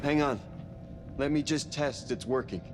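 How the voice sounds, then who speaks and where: An actor playing a steady, by-the-book spaceship crewman in a sci-fi game, measured and procedural. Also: mid-range voice, over music, natural voice. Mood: composed, reassuring. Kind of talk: video game voice acting, sci-fi horror.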